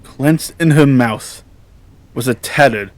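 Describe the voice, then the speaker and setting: A man reading scripted horror fiction as narrator in a measured, solemn storytelling manner. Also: medium pitch.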